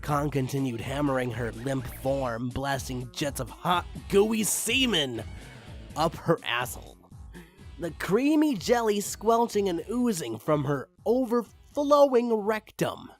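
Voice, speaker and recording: A man's voice: fairly high pitch, sing-song, slow. A man reading fan fiction aloud in a theatrical, amused way, stumbling now and then. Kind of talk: dramatic story reading with amused asides. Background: music behind the voice.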